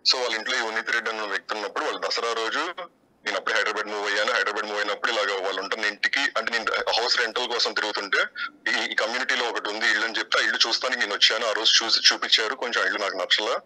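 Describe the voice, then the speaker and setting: Male interviewee, very sharp bright tone, slightly low pitch, guarded and halting with repetitions. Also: fairly even intonation, rough recording. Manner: defensive, evasive, halting repetitions. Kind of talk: spontaneous interview answers.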